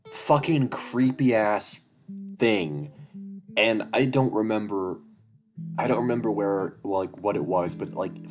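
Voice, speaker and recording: A man reading a story game aloud and commenting on it, swearing often and restarting his sentences. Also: fairly low pitch. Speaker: gamer playing a story game. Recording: music playing clearly behind.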